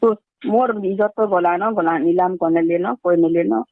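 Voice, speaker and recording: A woman's voice: fairly low pitch, a little slow, no echo. A middle-aged woman, insistent and repetitive, defending herself on a recorded phone call. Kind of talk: heated phone argument in colloquial speech.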